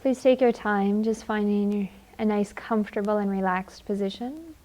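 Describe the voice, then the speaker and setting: A woman leading a guided meditation in a gentle, soothing manner, leaving long unhurried pauses. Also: mid-range voice, rough recording.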